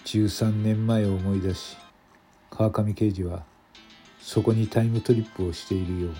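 A man's voice: lowish voice, mellow. A man narrating a scripted radio drama as storyteller.

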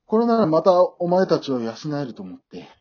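A man's voice: a little high, huge pitch swings, normal speed, dull audio. A man acting in an amateur radio drama as an awkward, remorseful middle-aged father, hesitant and easily flustered. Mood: pleading.

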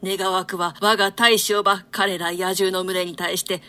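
Woman as an indignant doomed lord, sharp-voiced. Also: mid-range voice, little pitch movement, moderate pace, natural voice. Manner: declamatory. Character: condemned young lord, indignant and fearful. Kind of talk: theatrical reading of archaic translated Shakespeare.

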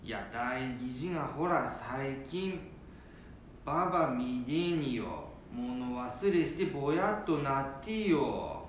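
A man's voice: sing-song, slow. Man playing echoey old grandfather with Nanbu dialect. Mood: blunt, worried. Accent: Nanbu dialect of northern Japan. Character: blunt, sturdy old Japanese grandfather worried for his son. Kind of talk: amateur radio drama acting. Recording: very echoey room, faint rumbling noise.

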